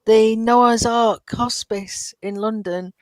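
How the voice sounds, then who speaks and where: A woman's voice: fairly high pitch, fairly even intonation, takes it slowly, no echo. A woman talking casually as a panelist on a fan podcast. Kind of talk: casual chat.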